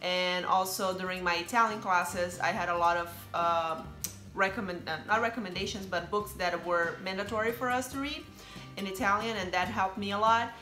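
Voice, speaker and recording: Brazilian woman chatting on her vlog, bright voice, echoey room, faint music behind. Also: medium pitch, normal speed. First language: Brazilian Portuguese. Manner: chatty, explaining with fillers. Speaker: vlogger. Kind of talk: casual chat.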